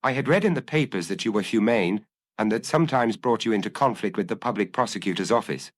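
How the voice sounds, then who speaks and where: An anguished young male killer, read by a male narrator in a detective audiobook. He confesses earnestly, picking over his own impulses. The pitch moves widely, and the sound is close and dry. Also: animated, fast talker, dry recording. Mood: uneasy. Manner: earnest, self-analyzing, confessional. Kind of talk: dramatic audiobook reading.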